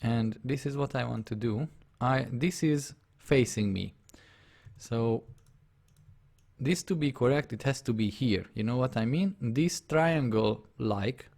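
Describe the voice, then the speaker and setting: Hungarian guy walking you thru a drawing tutorial, kinda gravelly voice, mid pitch, keeps asking if you get it. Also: unhurried, a little mellow. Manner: narrates his on-screen steps, often checks the viewer follows. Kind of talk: live software drawing tutorial.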